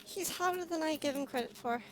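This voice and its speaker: Female game streamer: high voice, casual off-the-cuff reaction commentary. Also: fairly quick, a little hiss or hum.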